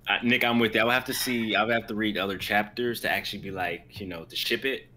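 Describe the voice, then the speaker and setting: A man's voice: lowish voice, fairly even intonation, fast, pushed and bright, a little hiss or hum. A young man chatting casually and jokingly as a co-host of a group stream. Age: young adult. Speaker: co-host of an online readers' stream.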